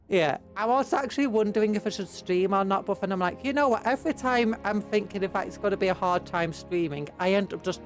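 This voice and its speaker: A British woman streamer with a cold, blocked nose and sore throat, rambling in casual chat with her viewers. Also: medium pitch. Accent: British English. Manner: rambling, chatty, reads and answers chat.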